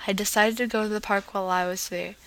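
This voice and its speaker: A woman reading romantic fan fiction aloud in a casual, expressive amateur style, stumbling over words and restarting as she goes. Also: medium pitch, quick pace, low-quality recording. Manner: gushing asides.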